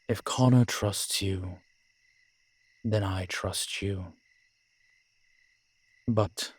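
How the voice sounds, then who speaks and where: A weary, honourable vampire of great age played by a man for an audio roleplay. He speaks very slowly and gravely, low and guarded, in a dry close space. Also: no echo. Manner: slow, grave, low-spoken. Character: weary, brooding, centuries-old male vampire, guarded and honourable.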